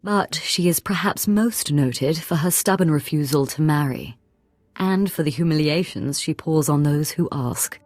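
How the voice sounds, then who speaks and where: A woman's voice: low voice, a little slow, a little mellow, no echo. An actress playing a scheming, gossip-loving noblewoman in a sci-fi video game, polished and conspiratorial. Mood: intrigued, gossipy.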